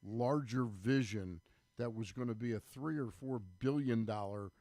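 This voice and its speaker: A man's voice: medium pitch, a little slow, mellow, no room sound. Man chatting on sports radio, dry sound. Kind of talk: conversational sports talk. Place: radio studio.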